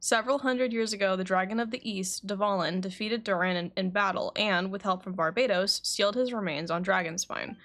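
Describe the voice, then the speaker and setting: Woman voicing a composed male alchemist, measured, explanatory, game dialogue, medium pitch. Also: a bit bright, close to an everyday voice. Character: calm, measured male alchemist confessing in court.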